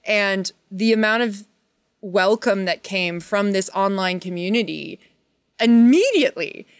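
A woman with a mid-pitched voice speaks in an effusive, halting way. She is a guest in a casual conversation, and her thoughts ramble along. The recording is dry. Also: expressive, clean tone, dry recording. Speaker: panel guest, actress. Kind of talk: casual chat.

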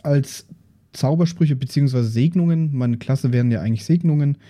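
Mellow, dark-voiced man explaining haltingly. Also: medium pitch. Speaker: tabletop role-playing player. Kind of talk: casual character introduction on an actual-play podcast.

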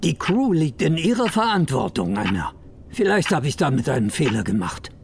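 Stern, suspicious male superior for an audio drama, high-set and animated, formal and pointed. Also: normal speed, gravelly, noisy background. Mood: reproachful. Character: stern male mission boss, needling and suspicious.

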